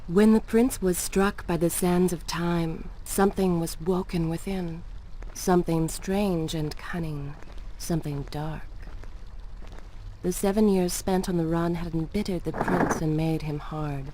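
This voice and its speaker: An actress playing a grave, wistful empress who narrates a fantasy game story in a measured, mysterious way. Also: female voice, lowish voice, steady tone, dark tone, natural voice. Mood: solemn, foreboding. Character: solemn, wistful female storyteller empress of time. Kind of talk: scripted dramatic narration. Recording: heavy noisy ambience behind the voice.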